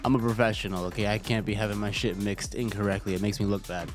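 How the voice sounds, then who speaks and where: A man's voice: fairly low pitch, steady tone, quick pace. Stuffy-nosed man streamer chatting, cursing. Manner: profane, joking, rambling. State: congested, slightly stuffy. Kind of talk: casual livestream chat. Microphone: desk microphone. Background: faint music.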